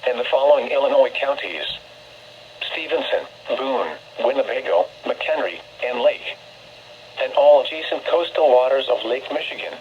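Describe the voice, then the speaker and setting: A man reading a formal, scripted public service announcement in a measured way, heard over a weather radio. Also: medium pitch. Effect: weather radio broadcast. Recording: muffled radio speaker with noise.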